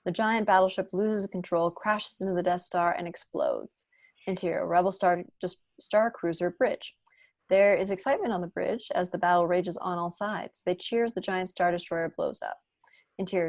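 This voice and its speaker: A woman reads scripted stage directions aloud at a table read. Her voice sits a little low, and the sound is close and dry. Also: fairly even intonation, normal speed, no echo. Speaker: narrator reading stage directions.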